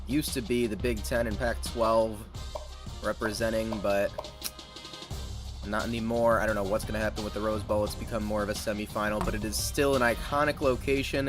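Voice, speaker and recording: A young American man hosts a relaxed gaming live stream, chatting with viewers and calling the action in an upbeat, talkative way. His voice sits at an ordinary middle pitch. The game's music plays clearly underneath him throughout. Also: moderate pace. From United States. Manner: chatty, upbeat, greets chat and calls plays. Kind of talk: casual live-stream commentary. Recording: game music playing behind voice. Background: video game music.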